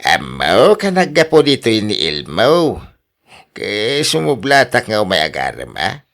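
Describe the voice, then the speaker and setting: A man's voice: on the low side, huge pitch swings, unhurried, gravelly, a bit bright, heavily acted. A man playing a cheeky, eager old suitor in a radio drama, chuckling and drawling, with an Ilocano accent. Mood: flirty, playful.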